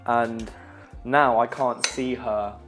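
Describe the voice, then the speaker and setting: Husky young man, joking around. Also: mid-range voice, fairly even intonation, takes it slowly, over music. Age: young adult. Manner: jokey and teasing, laughs often. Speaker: vlogger cooking with his mum. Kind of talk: casual chat while cooking.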